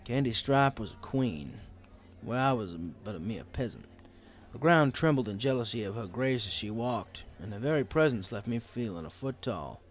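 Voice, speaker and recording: A man reads a first-person story as an audiobook narrator. His delivery is wry and conversational, with a very lively, varied intonation. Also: medium pitch, moderate pace, slight background noise.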